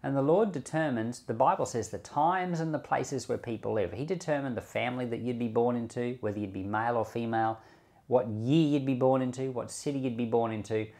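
A man's voice: medium pitch, a little fast, darkish tone. A man speaking as a pastor on a Bible podcast, explaining scripture in a conversational way with rhetorical questions. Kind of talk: Bible teaching podcast with commentary and prayer.

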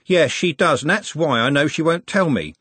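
A man's voice: on the high side, animated, moderate pace, a bit bright, slightly rough, no echo, pitched above the performer's own voice. A man voicing a cheeky, cocky young male city lad who talks breezily and colloquially.

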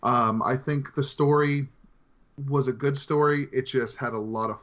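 Guy co-hosting a movie podcast, medium pitch, kinda dull lofi sound, just chatting. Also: fairly even intonation, a little slow, fairly bright tone. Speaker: podcast co-host. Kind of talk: casual movie discussion. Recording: slightly dull lo-fi audio.

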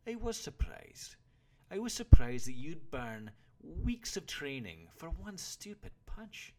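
A man's voice: fairly low pitch, very animated, moderate pace, pitched above the performer's own voice. A man voicing a stoic, blunt older warrior mentor who scolds his pupil in an audiobook story. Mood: exasperated.

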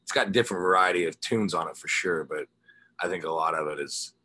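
A man with a husky voice, a musician guest chatting casually in a laid-back, rambling interview style. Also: low-pitched, quick pace, pushed and bright. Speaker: musician guest, guitarist and singer in a rock band.

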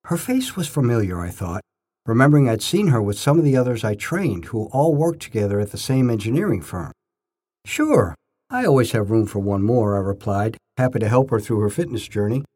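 An older man narrating his own scripted first-person stories in short, measured phrases with a storyteller's emphasis. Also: mid-range voice, very animated, warm tone, slightly rough.

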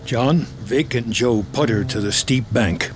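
A man's voice: mid-range voice, measured pace, background noise. A man reading scripted screenplay narration of a film story. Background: music.

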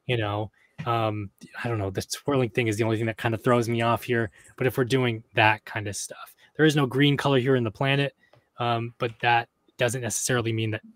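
A man's voice: medium pitch, a bit bright, dry recording. A man co-hosting a podcast, casually working through a lore theory, thinking aloud with fillers and restarts. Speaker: podcast co-host discussing game lore.

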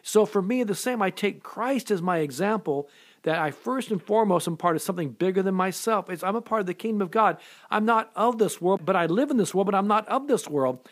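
A middle-aged man preaching an earnest sermon, often restarting and repeating words as he goes. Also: high-pitched. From born in Japan, raised in America. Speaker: pastor.

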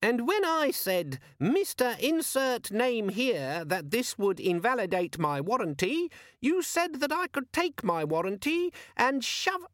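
A tiny, sulky gadget demon, with an extremely high, squeaky voice that swoops about. It nags and needles with indignant sarcasm, as in a lively storybook reading. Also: male voice, a little slow, dry recording, clean studio sound, heavily acted. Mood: indignant, sarcastic. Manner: indignant, needling, falsely cheerful.

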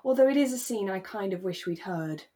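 A British woman co-hosting a podcast, chatting in a relaxed conversational way about a radio drama. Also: medium pitch, brisk. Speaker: podcast co-host.

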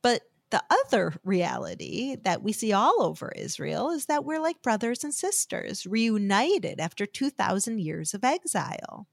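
A woman's voice: a little high, vocal fry, dry recording, studio quality. An American woman giving a heartfelt, scripted devotional talk that she tells as a personal story. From United States.

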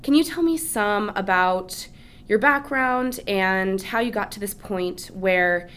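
A woman's voice: medium pitch, slow pace, a bit bright, smooth. Upbeat woman hosting a podcast. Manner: upbeat, eager. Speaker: podcast host. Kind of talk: scripted podcast intro and interview questions.

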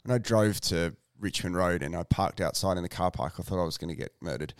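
A middle-aged Australian man with a booming voice, chatting as a podcast guest in an animated, jokey way. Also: fast talker, clean tone, no room sound, studio quality. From Australia (Tasmania). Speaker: guest, sports announcer. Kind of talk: casual podcast chat. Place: podcast studio.

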